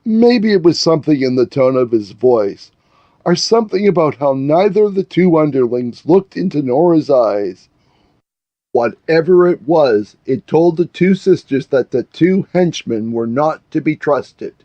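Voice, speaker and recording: A man narrating a scripted fairy tale as a storyteller, in a measured way. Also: a little high, expressive, dry recording, lo-fi sound. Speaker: author and narrator.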